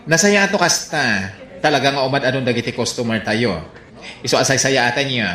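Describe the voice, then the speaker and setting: Man playing campy, bright Ilocano beauty parlor boss. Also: medium pitch, a little fast, echoey room, lightly acted. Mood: breezy, teasing. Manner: campy, drawling, squealing exclamations. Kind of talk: radio comedy drama acting.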